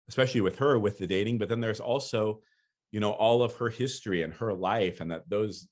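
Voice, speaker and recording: A man with a very low voice speaks easily and unhurriedly. He pads his answers with "you know" and the odd laugh, and he sounds like he is thinking out loud. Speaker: featured speaker, poet. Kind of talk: casual talk and Q&A.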